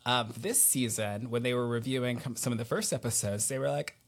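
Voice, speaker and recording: A man hosting a casual interview podcast, playful and a little halting as he thinks aloud. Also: mid-range voice. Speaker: podcast host.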